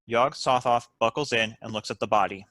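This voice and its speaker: A man's voice: mid-range voice, brisk, bright, forceful tone, no echo. A man reading out stage directions as the narrator of a scripted table read.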